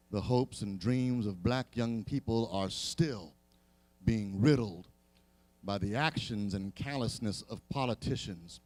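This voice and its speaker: A man's voice: medium pitch, warm tone. A man preaching in an impassioned, dramatic oration at a live gathering, with emphatic, rhythmic repetition. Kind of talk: impassioned sermon-style oration at a live event. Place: live public gathering, speaking to an audience.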